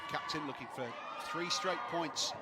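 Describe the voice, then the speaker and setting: A man giving measured play-by-play commentary on a volleyball match, rising in excitement during rallies. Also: fairly high pitch. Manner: measured, descriptive play-by-play with occasional rises of excitement. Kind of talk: live sports commentary. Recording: broadcast mix with loud crowd and music underneath. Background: arena crowd noise and music.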